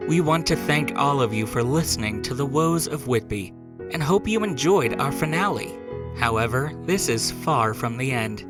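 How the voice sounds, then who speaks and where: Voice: medium pitch, animated, normal speed. A podcast host reading a scripted outro and credits. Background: music.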